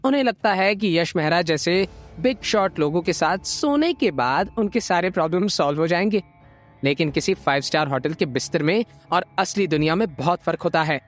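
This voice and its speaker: A woman's voice: on the low side, animated, normal speed, soft background music, lightly acted. A woman acting a spiteful, haughty young schemer in an audio drama, with a mocking, sneering manner. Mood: sly, taunting.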